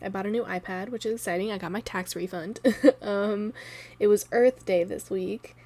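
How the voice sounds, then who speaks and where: A woman in her thirties with a raspy voice from a cold, chatting loosely and laughing in a planner voiceover. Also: mid-range voice, steady tone, normal speed, mellow. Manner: rambling, chatty, laughs often. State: sore throat from a cold. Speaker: planner vlogger. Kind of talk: casual voiceover chat. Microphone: voiceover mic.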